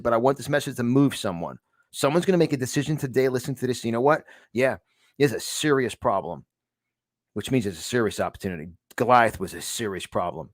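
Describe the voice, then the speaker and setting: A man's voice: medium pitch, no echo. A man with a strong New York accent talks like a motivational preacher, pressing each point and circling back over his words. His voice sits in an ordinary middle range. It is recorded dry and close, with no room sound. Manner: emphatic, repetitive, stumbling restarts, preacherly. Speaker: host of a conservative news channel. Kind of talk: motivational monologue.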